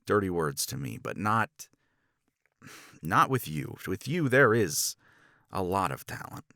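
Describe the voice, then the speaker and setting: A man performs a jealous male academic in an intimate romance roleplay. His lowish voice moves slowly and haltingly, with wide swings in pitch. He sounds intense and frustrated, as though confessing. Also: big pitch swings, pushed and bright, no room sound, studio quality, heavily acted. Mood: bitter, hushed. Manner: halting, intense, slipping into breathy near-whispers. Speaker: audio roleplay voice actor.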